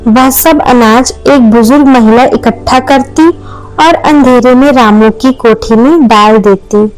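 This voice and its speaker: A woman's voice: sits high, low-quality recording. A woman narrating a scripted moral story as a storyteller.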